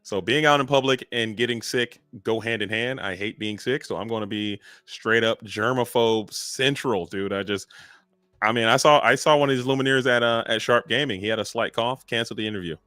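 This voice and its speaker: A man giving lively, joking play-by-play commentary on a live card game. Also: medium pitch, no echo, clean studio sound. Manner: energetic, joking, drawn-out emphasis.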